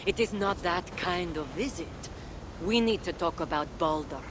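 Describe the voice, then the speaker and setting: An actress playing a stern, wry goddess queen in a video game, speaking in a measured, dry and commanding way. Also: lowish voice, noisy background, natural voice. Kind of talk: dramatic video game acting.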